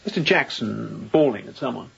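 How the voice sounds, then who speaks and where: An actor playing a young, newly appointed sea captain in a radio drama, clipped, commanding and guarded. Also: male voice, medium pitch, animated, moderate pace, creaky, breathy edge, muffled recording, heavily acted. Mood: guarded, curt. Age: young adult.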